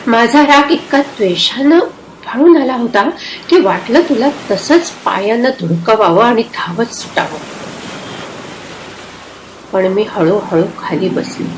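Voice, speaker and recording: A woman's voice: fairly high pitch, lively intonation, slow, slight background noise. A woman playing Radha as a proud, yearning woman in love, speaking intimately and emotionally in a dramatized literary dialogue. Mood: aching remembrance. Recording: roomy echo, loud sea-wave ambience.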